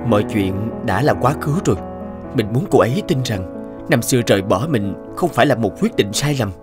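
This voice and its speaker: An actor voicing a young, modest man who talks in an earnest, soft-spoken way in a cartoon. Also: on the low side, animated, brisk, close to an everyday voice. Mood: calm. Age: young adult. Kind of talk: cartoon voice acting. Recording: music under the voice. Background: background music.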